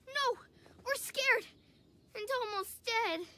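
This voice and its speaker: A woman voicing a frightened, whiny little boy in an animated film, excitable and plaintive. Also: very high-pitched, slow, exaggerated voice. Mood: scared, whiny.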